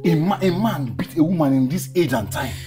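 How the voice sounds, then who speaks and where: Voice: mid-range voice, sing-song, brisk, lightly acted. An actor in an emotional drama playing a protective, agitated friend who comforts a hurt woman, stammering and repeating urgently. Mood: angry, indignant. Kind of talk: emotional film drama acting. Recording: loud music behind dialogue. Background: loud dramatic music.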